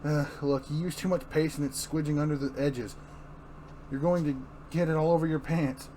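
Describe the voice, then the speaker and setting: A man playing a fussy, caring young woman gently nagging her father in an amateur comic-book reading. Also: on the high side, fairly even intonation, normal speed, natural voice. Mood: fond nagging. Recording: clearly noisy room recording.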